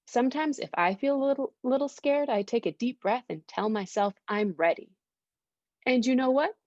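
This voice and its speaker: Woman reading as a calm, reassuring dad, dull recording. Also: very high-pitched, moderate pace, no echo, voice pushed up. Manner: gentle, encouraging. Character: calm reassuring father of a young boy. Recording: dull, lo-fi sound.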